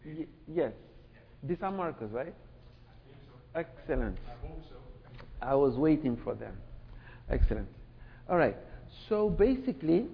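A man's voice: fairly high pitch, slightly rough. Middle-aged man speaking in a reverberant hall. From Senegal, raised in France. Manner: relaxed, conversational with fillers and asides. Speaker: speaker, church representative. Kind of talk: sermon-style lecture. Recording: dull sound with long hall reverb.

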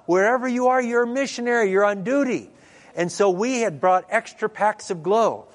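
A middle-aged man, a pastor, telling stories in a sermon and acting out the dialogue in a lively way. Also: high-pitched, normal speed. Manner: lively storyteller who acts out dialogue. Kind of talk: storytelling sermon. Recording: long reverb in a large hall.

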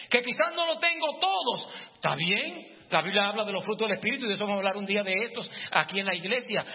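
A male pastor giving a fervent sermon in a church, at times rising to shouts. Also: high voice, slightly rough. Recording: long church reverb, dull lo-fi, faint music.

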